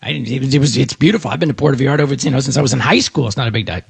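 A middle-aged man from Texas hosting a radio show, talking fast and animated in a rambling, self-promoting monologue. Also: mid-range voice, gravelly, breathy edge, no echo, clean studio sound.

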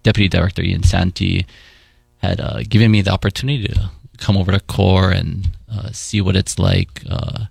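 Deep gravelly man from Hawaii. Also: moderate pace, dry recording, clean studio sound, faint music behind. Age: 40s. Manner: relaxed, thoughtful, with many fillers. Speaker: guest, paramedic supervisor. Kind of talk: casual interview in studio. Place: radio studio.

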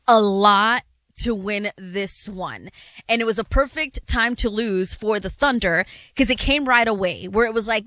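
A woman's voice: on the high side, pushed and bright, no echo. Woman co-hosting a basketball talk show. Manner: conversational, builds on co-host's points with agreement. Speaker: sports show co-host. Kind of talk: basketball analysis chat.

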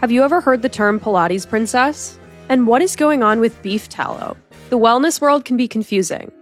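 A woman reading a wellness podcast promo in a brisk way. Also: on the high side, soft background music. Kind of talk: promo read.

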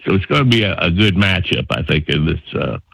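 Gravelly low-voiced caller. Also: male voice, expressive, brisk, a bit bright, no room sound. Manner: folksy, rambling with fillers. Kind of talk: call-in comment on sports radio.

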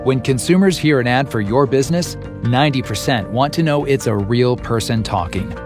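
Brisk male announcer over guitar. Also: mid-range voice. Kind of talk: scripted commercial read.

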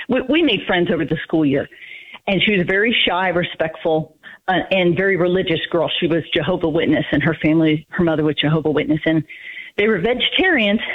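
A woman's voice: lowish voice, breathy edge. A woman in her fifties calling in to a radio show, telling an old story hesitantly and nervously. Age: 50s. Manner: hesitant, nervous, many ums. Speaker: caller. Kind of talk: telling a personal story on a phone-in. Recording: tinny phone line.

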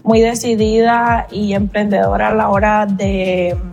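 Woman, medium pitch, thirties. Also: little pitch movement, unhurried, creaky, darkish tone, highs cut off, faint music behind. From Cuba. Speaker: pageant contestant. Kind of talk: casting interview.

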